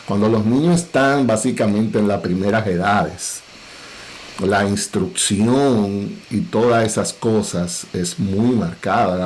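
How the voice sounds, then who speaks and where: A middle-aged man with a Dominican Cibao accent, a pastor speaking earnestly and in a measured way on a parenting panel. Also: lowish voice, darkish tone, a little hiss or hum, rough recording. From Dominican Republic.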